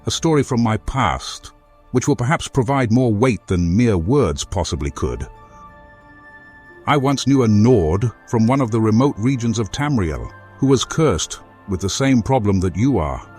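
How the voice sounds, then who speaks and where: A man playing a courteous, earnest former foe in a fantasy game, speaking formally and deliberately as he offers help. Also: fairly low pitch, very animated, darkish tone, breathy edge, soft background music, full character voice. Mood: earnest, storytelling. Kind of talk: video game character dialogue.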